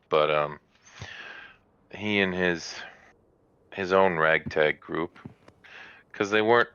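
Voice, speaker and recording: A man voicing a hospitable, harried small-town mother in a tabletop game, candid, with halting, conversational phrasing. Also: rumbling low, bright, forceful tone, clean tone, no echo, highs cut off, full character voice.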